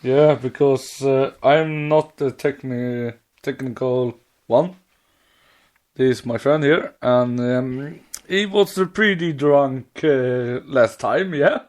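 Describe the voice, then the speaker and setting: A man co-hosting a casual fan podcast in halting with loose grammar. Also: medium pitch, darkish tone. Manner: non-native English with loose grammar, halting. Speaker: podcast co-host.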